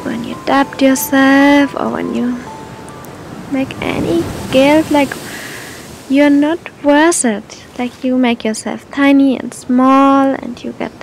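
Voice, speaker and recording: A woman guiding a meditation in a gentle, soothing manner, speaking to the listener with long, unhurried pauses. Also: fairly even intonation, creaky, over music, poor audio. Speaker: meditation guide. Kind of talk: guided meditation, spoken softly to the listener. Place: outdoors on a beach. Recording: outdoor recording with low rumbling noise.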